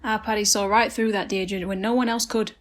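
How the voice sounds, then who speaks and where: A woman's voice: mid-range voice, fairly even intonation, quick pace, lightly acted. A woman as a breezy English lady detective. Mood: casual. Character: breezy female private detective, the boy's aunt. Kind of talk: student radio play acting.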